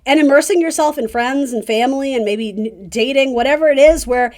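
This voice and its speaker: A woman's voice: high voice, a little fast, darkish tone. A woman hosting a casual tarot reading, talking directly to the listener with encouraging, conversational advice. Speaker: tarot reader and video host.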